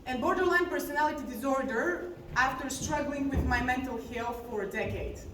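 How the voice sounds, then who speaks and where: Woman, 30s, high voice, confessional conference speaker, heard from far off in a very echoey hall. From Bulgaria. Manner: earnest and confessional, slowing down on key words. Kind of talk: personal story talk on stage. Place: large conference hall stage. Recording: very echoey hall, distant mic, faint noise.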